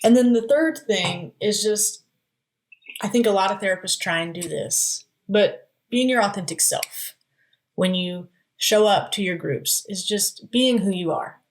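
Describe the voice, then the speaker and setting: A woman's voice: mid-range voice, unhurried. A woman guest in a podcast interview, speaking candidly and laughing easily, with stumbling restarts. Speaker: music therapist guest. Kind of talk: casual interview chat.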